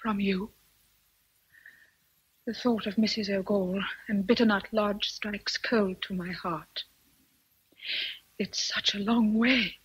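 Casting: proud young British governess, breathy and intense, for period radio drama, slightly dull recording. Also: female voice, medium pitch, steady tone, slow, pauses often. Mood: guarded, saddened. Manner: earnest, restrained, intense. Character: proud, passionate young governess, plain and independent.

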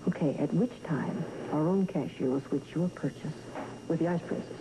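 A wry, seasoned performer as an accomplice with a voice between male and female range, familiar and questioning. The soap opera dialogue comes through clear tape noise. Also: medium pitch, moderate pace, lightly acted. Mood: practical, questioning. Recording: noisy worn VHS tape of television.

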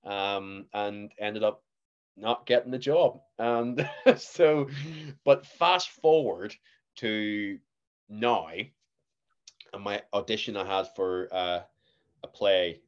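Young northern irish guy, lowish voice, chatty and animated, bit dull lo-fi sound. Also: slow, clean tone. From Northern Ireland. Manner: animated storytelling, laughs often. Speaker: guest actor. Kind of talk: casual podcast chat.

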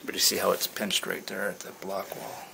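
A man's voice: low-pitched, monotone, normal speed, gravelly, bright, forceful tone. A man giving casual, plain-spoken commentary as he works, thinking aloud in an informal way. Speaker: fire alarm technician apprentice narrating his work. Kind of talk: casual hands-on work vlog commentary. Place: indoor building, at ceiling space and wall panels. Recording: noticeable background noise on handheld camera.

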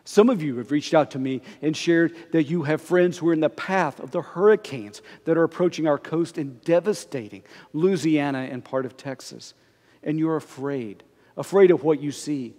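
A middle-aged man, a pastor, preaching a conversational, expressive sermon while standing before the altar. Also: on the high side, normal speed. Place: church sanctuary before the altar. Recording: long reverb of a church sanctuary.

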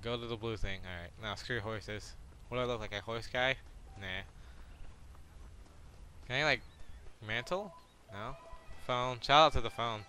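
A man's voice: medium pitch, unhurried, pauses often, bright, forceful tone, a little hiss or hum. A man giving casual, joking commentary while playing a video game, rambling and self-deprecating. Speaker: let's play gamer.

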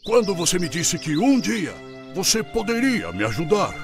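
A man voicing a proud, gruff lion king in a children's cartoon fable, with an imperious, theatrical delivery. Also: sits high, wildly swooping pitch, normal speed, over music, exaggerated voice. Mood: humbled, grateful.

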